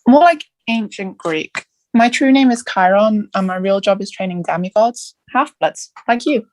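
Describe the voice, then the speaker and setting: Woman playing patient male centaur mentor, medium pitch, measured explaining, dry close sound, table read. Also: darkish tone, no echo, lightly acted, rough recording. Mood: calm, explanatory. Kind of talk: musical theatre table read.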